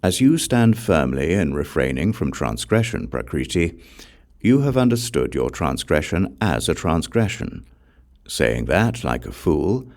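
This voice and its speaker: A man voicing a calm, authoritative Buddha in a scripture audiobook, giving measured, grave instruction. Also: low-pitched, creaky, exaggerated voice. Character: calm, authoritative male Buddha, compassionate spiritual teacher.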